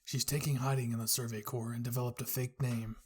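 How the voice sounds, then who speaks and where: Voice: medium pitch, flat delivery, brisk, breathy edge, natural voice. A narrator voicing a grave, secretive male pastor in an anime story reading.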